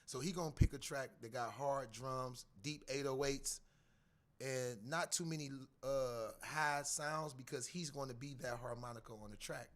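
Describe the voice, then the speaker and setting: A man with a raspy voice and a New York accent, a guest in a casual podcast chat, talking animatedly. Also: mid-range voice, moderate pace. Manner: animated, breaks into sung and acted-out voices. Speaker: guest musician.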